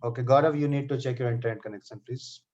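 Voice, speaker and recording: A man teaching software testing in a live online class. He speaks to students by name and keeps checking in with "okay" and "right". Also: mid-range voice, fast talker. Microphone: computer mic on a video call. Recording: slightly dull online call audio.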